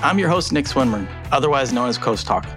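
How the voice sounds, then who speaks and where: A man hosting an interview podcast, upbeat and chatty, often pausing on fillers and restarts. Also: mid-range voice, lively intonation, music in the background. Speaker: podcast host. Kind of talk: casual interview chat.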